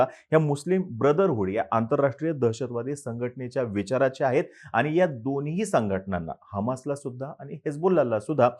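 Man hosting a steady news explainer. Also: mid-range voice. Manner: steady explanatory delivery with occasional filler pauses.

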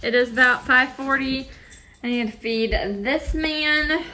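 A woman's voice: high voice, slow, slight background noise, low-quality recording. A young woman casually chatting about her day while filming herself on a handheld phone at home. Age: young adult. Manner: chatty, upbeat, relaxed. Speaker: vlogger. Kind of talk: casual day-in-the-life narration. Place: home interior and car. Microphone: phone camera, handheld selfie.

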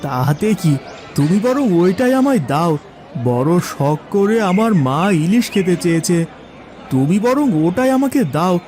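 A man's voice: high-pitched, sing-song, a little slow, a little mellow, faint music behind. A man playing a poor, humble and devoted village son in a cartoon fairy tale, with an earnest, pleading way of talking. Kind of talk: animated fairy tale acting.

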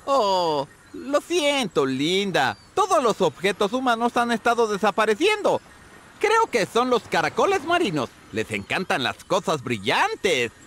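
A male actor playing a friendly, scatterbrained seagull in an animated game, chatty, muddled and exuberant. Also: high-pitched, a little slow, slight background noise, full character voice. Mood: apologetic. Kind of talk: animated game character acting.

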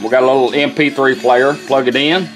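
Middle aged or older guy doing a folksy hands on demo, normal pitch, bit of music behind. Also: fairly even intonation, brisk, low-quality recording. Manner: folksy, plain-spoken. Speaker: vintage car radio restorer and product demonstrator. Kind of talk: casual hands-on product demonstration. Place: indoors at a workbench. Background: music and radio playing.

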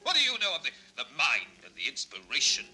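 A man's voice: on the high side, huge pitch swings, fairly quick, sharp tone, soft background music, full character voice. A man playing an embittered, deaf composer uncle in a vintage children's record drama, querulous, repetitive and given to abrupt outbursts. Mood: indignant, scornful. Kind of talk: 1960s children's record radio-play acting.

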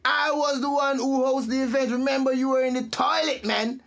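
High-pitched, offended event host. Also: male voice, steady tone, normal speed, gravelly, background noise. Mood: indignant. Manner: insistent, argumentative. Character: boastful, offended event host demanding gratitude. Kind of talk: comic radio drama acting.

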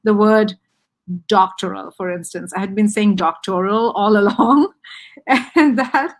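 A middle-aged woman from India, speaking reflectively as a guest author on a video call from a room with bookshelves, pausing to search for words. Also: medium pitch. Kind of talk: casual video-call conversation.

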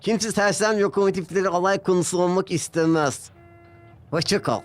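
A man's voice: high-pitched, normal speed, pitched above the performer's own voice, lightly acted. A man voicing a worried, sensitive but kindly talking dockside locomotive in a children's cartoon story, with an earnest seafaring manner. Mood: hurt.

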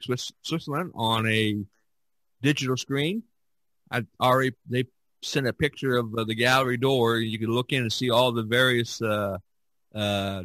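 A man chatting casually as a podcast host, halting and often restarting his phrases. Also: mid-range voice, a bit bright, no echo. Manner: halting, with repeated false starts. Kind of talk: casual chat about art.

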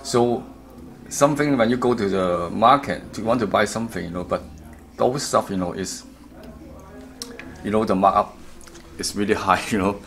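A middle-aged man who speaks English as a second language, explaining a product in an informal, halting, repetitive way as a distributor. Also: on the low side, a bit bright, slight background noise. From Canada, based in Vancouver. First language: not English. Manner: halting, repeats himself. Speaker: audio kit distributor. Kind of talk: informal product walkthrough interview. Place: hotel room at an audio show, indoors.

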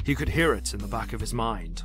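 A man's voice: lowish voice, expressive, brisk, a bit bright, faint music behind. A man narrating a scripted audio drama in a dramatic storytelling style.

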